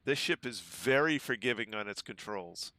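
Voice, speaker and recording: A man's voice: lively intonation, normal speed, sharp tone, dry recording. A man, chatting casually while streaming a game, full of ums. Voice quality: relatively low voice. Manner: easygoing, chatty, many ums. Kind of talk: casual gameplay livestream chat. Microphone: desk microphone at home.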